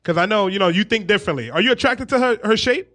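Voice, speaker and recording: A man with a strikingly high voice talks very quickly as a radio co-host. His casual banter is animated, full of interjections and repeated phrases. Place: radio studio.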